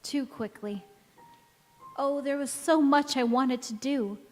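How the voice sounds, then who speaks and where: A woman playing a wistful, gentle mother in an old-time radio drama, recorded in an echoey church hall. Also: sits high, takes it slowly, faint music behind. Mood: wistful anguish. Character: wistful, gentle mother returned as young visitor.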